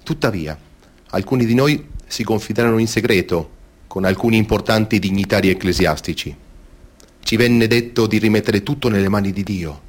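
An actor playing a grave former seminarian giving wounded testimony in a solemn, measured, theatrical monologue, in speech. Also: male voice, lowish voice, close to an everyday voice. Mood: solemn, resolute.

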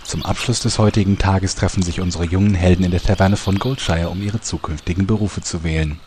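A man's voice: low-pitched, fairly even intonation, fairly quick, a little mellow, a little hiss or hum. A man narrating a parody radio soap like a dramatic announcer. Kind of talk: scripted narration.

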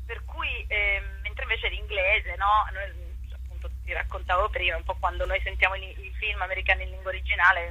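A woman talking off the cuff as an interview guest, expressive, thinking aloud and repeating words for emphasis. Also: lowish voice, husky, dry recording, rough recording. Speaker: theatre actress and director interviewed about her play. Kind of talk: unscripted radio interview chat.

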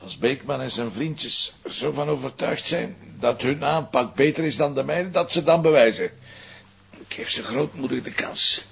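An actor playing a stubborn, impulsive police commissioner with a very husky voice and a Flemish accent, insistent and animated, in a crime drama. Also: male voice, mid-range voice, normal speed, highs cut off, heavily acted. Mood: determined. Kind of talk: television crime drama acting.

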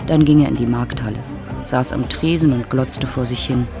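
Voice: medium pitch, steady tone, normal speed, creaky. A narrator reading scripted literary prose for an audio drama. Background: music.

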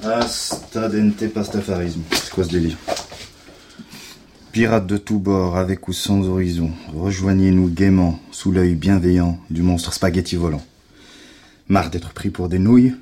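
A man's voice: low voice, fairly even intonation, unhurried, mellow. A man playing a wry, talkative, reluctant investigator in a naturalistic audio drama, mocking and grumbling. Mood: mock-solemn. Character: sarcastic, hungry, reluctant male investigator, grumbling sidekick. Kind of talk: naturalistic French audio fiction acting.

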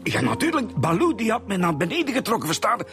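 An actor playing an excitable, folksy old man in a radio drama, with a Flemish accent and a rambling, emphatic way of talking. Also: sits high, quick pace, over music. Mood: agitated. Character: excitable folksy old man, a witness walking his dog.

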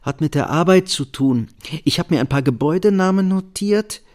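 Tired middle-aged husband for radio drama, male actor, evasive, somewhat higher register, everyday delivery. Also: expressive, moderate pace, darkish tone, natural voice.

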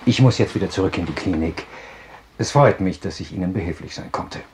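A man playing a self-assured psychiatrist in a radio drama, explaining in a measured, courteous, husky lecture. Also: sits low, a little mellow, a little hiss or hum, close to an everyday voice. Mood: assured, explanatory. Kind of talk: German radio drama acting.

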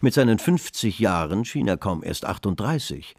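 A man's voice: fairly low pitch, big pitch swings, moderate pace, no echo, studio quality. A man giving literary scripted narration in a German radio drama. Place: dry studio.

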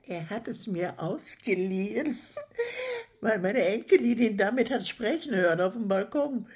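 A woman's voice: medium pitch, very animated, a little slow, darkish tone. An actress playing an older, nosy caretaker and grandmother in a radio drama, eager to help, hesitant yet chatty and coy. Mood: coy, amused.

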